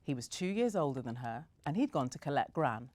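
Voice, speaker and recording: Woman reading a kids story aloud, low voice but swoops around a lot, expressive. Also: fairly quick, dry recording. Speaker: reader.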